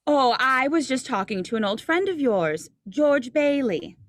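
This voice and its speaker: A woman's voice: high-pitched, sing-song, unhurried, dry recording, clean studio sound. A woman playing a sweet, teasing young small-town woman in love, acted in 1940s radio drama style. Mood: playful. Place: indoors, recorded over a video call.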